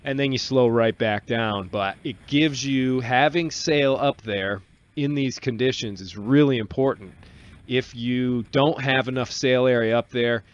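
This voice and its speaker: A man in his forties giving a relaxed, casual voice-over on a sailing clip from a small cabin studio, talking into a desk mic. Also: medium pitch, muffled recording. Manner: relaxed, explanatory, with restarts. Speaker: solo sailor vlogger. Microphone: desk microphone on boom arm.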